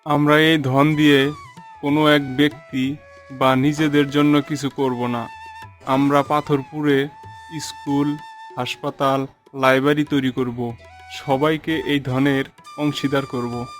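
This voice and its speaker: A man voicing an earnest, wondering young treasure hunter in a cartoon fairy tale, speaking deliberately. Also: medium pitch, little pitch movement, faint music behind, lightly acted. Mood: resolute.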